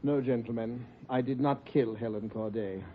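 A man's voice: medium pitch, fairly even intonation, moderate pace, lightly acted. An actor playing a nervous, defensive male singing teacher who is proud of his business, in a 1940s radio drama. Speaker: voice coach. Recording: dull 1940s radio recording, slightly off-mic.

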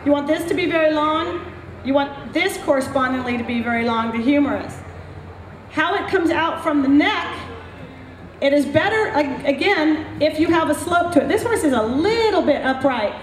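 A woman's voice: high voice, lively intonation, normal speed, roomy sound, slight background noise, low-quality recording. A middle-aged woman veterinarian explaining points to an audience at an outdoor clinic, speaking into a handheld mic. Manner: explanatory, emphatic teaching with gestures. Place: outdoor paddock at a racetrack.

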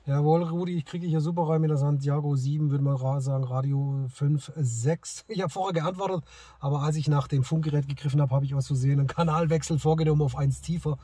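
Middle-aged male vlogger, slightly high voice, casual hobbyist chat, faint background noise. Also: fairly even intonation, quick pace, mellow. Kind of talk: casual vlog chat and radio calls. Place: inside a parked car and outdoors in snowy forest.

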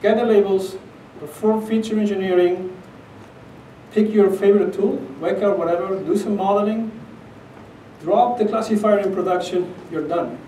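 A man's voice: sits high, moderate pace, warm tone, a little hiss or hum. A middle-aged man from Argentina with an Argentine accent gives an emphatic, conversational conference talk, often checking in with "okay?". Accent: Argentine Spanish. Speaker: conference speaker. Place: conference room with projector screen. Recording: echoey conference room, captured from a distance.

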